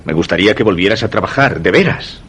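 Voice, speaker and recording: An actor with a Castilian accent playing a suspicious husband, narrating a dark suspense radio drama in measured, literary phrasing. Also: male voice, lowish voice, lively intonation, a little hiss or hum. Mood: pleading. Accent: Castilian Spanish.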